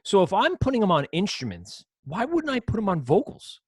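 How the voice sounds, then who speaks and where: A man with a low voice teaching an audio production class over a screen recording, explaining in a casual, rambling way with frequent self-corrections. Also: wildly swooping pitch, brisk, slightly rough, no room sound, clean studio sound. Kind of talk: screen-share software lesson.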